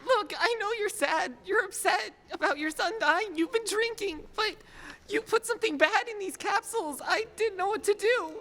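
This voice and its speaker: Woman playing earnest scared little boy, very high bright voice, radio play, echoing hall. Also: moderate pace. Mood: pleading, earnest. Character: frightened, earnest, kind young boy, drugstore helper. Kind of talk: stage radio play acting. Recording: noisy reverberant hall stage recording.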